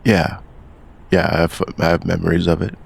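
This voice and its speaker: A man playing a withdrawn, baffled loner investigator in a tabletop game, terse and deadpan, with a gravelly, husky voice. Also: sits low, normal speed, full character voice.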